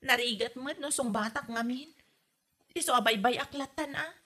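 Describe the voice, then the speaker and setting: A woman's voice: fairly high pitch, moderate pace, bright, forceful tone. A woman playing an aggrieved young wife, plaintively complaining about her mother-in-law in a comedy drama. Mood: resigned complaining. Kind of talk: comedy radio drama acting.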